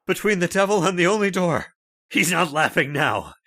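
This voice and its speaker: A man's voice: high-pitched, sing-song, normal speed, fairly bright tone, no room sound, full character voice. A man playing a desperate but defiant father in a melodramatic radio horror play. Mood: grimly determined. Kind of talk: old-time radio horror acting.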